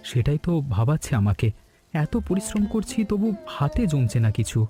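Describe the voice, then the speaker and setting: A man's voice: on the high side, big pitch swings, normal speed, warm tone. A man playing an earnest, hopeful village hotel owner in a cartoon story, speaking expressively. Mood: eager, thoughtful. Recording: background music throughout.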